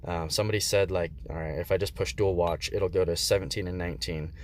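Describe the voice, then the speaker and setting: Male amateur reviewer, deep register, easygoing and hesitant, unscripted, slight background noise. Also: steady tone, quick pace. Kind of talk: casual unscripted product review.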